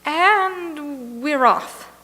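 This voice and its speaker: A woman playing a brisk, concerned radio studio worker in a stage comedy. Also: sits high, animated, pushed and bright, full character voice. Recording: echoey hall.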